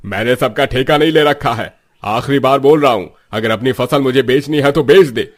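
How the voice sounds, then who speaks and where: An actor playing a callous, greedy and dismissive village landlord in a cartoon story. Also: male voice, medium pitch, normal speed, close to an everyday voice. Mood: threatening.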